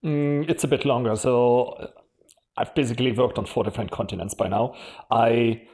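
A man's voice: mid-range voice, steady tone, moderate pace, a little mellow. A man with a German accent, a podcast guest who talks frankly and tells stories about his career in a casual interview. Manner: frank, storytelling, laughs often. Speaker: podcast guest, marketing executive.

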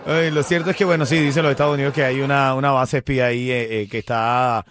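A man with a Cuban Spanish accent, hosting a morning radio show with quick, upbeat announcer delivery. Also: mid-range voice, steady tone. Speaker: radio host. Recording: radio show with music under the voice.

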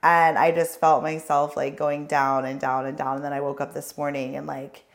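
A woman in her thirties co-hosting a podcast while hoarse from losing her voice, chatting casually and laughing often. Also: deep voice, level tone, normal speed. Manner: laughs often, rambling and self-correcting. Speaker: podcast co-host. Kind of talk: casual chat.

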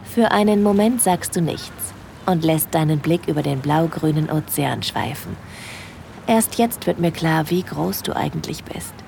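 A woman playing a shy yet bold young cruise guest in an intimate audio drama, speaking softly and hesitantly. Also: sits low, expressive, unhurried, gravelly, slight background noise, heavily acted. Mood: intimate musing.

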